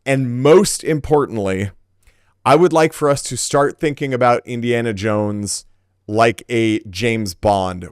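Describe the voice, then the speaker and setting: A man with a clean, smooth voice talks casually as a podcast co-host. He gives opinions emphatically, restating phrases for stress, in a dry, close-sounding recording. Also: mid-range voice, no echo.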